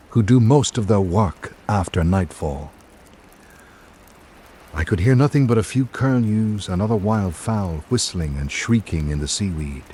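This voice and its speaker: A man playing a reflective visiting writer, reading his island journal in measured, literary radio drama narration. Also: fairly low pitch, a little mellow, a little hiss or hum. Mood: brooding, reflective.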